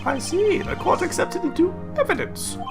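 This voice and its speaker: A woman voicing a solemn, formal male judge in a video game courtroom, speaking in a measured, deliberate way. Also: very high voice, animated, vocal fry, music in the background, voice pushed up, exaggerated voice.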